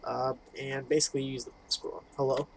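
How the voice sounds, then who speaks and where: A man's voice: mid-range voice, flat delivery, fairly quick, creaky, noisy background. A man hosting a gaming video, casually reading game text aloud and reacting with informal, muttered asides. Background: game music.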